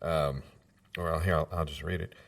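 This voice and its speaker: A man playing a rambling, unsure small-town radio announcer in improvised comedy, halting and trailing off as he goes. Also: rumbling low, steady tone, gravelly, recorded from a distance, pitched below the performer's own voice. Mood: muddled, hesitant.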